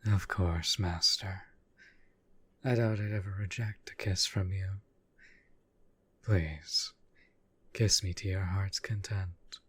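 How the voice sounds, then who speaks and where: Man playing a deep, husky devoted demon. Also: fairly even intonation, no echo, heavily acted. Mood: adoring, sultry. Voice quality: low, husky, breathy. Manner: slow, formal, courtly phrasing. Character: devoted, lovestruck male demon bound to a summoner. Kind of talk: intimate audio roleplay addressed to the listener.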